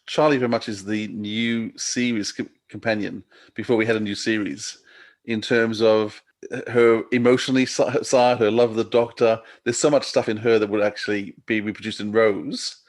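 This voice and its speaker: Slightly low-voiced man interviewing. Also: steady tone, moderate pace. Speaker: podcast interviewer. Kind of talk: conversational interviewing.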